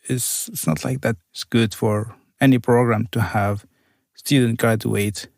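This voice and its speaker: Gravelly-voiced man, Arabic native speaker. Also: medium pitch, fairly even intonation, measured pace, darkish tone, no echo, studio quality. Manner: careful, searching for words, slightly non-native phrasing. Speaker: university program coordinator. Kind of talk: conversational interview answers.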